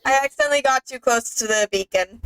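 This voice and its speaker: A woman gamer in casual chat with a friend as they play. Her voice is high and bright, with a forward, clear edge. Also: quick pace, poor audio.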